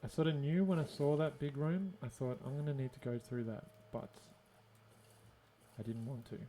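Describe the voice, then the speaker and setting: An Australian man with a mellow, relaxed voice gives casual gameplay commentary. He muses and thinks aloud as he plays. There is noticeable background noise on the recording. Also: medium pitch, normal speed, poor audio. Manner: musing, thinking aloud to himself. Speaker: gaming video host.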